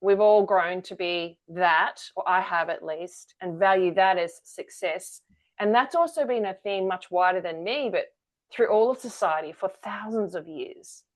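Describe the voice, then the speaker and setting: A woman's voice: mid-range voice, a little slow. A woman, a guest telling her story candidly in an interview. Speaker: guest, energy-work founder. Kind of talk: conversational interview.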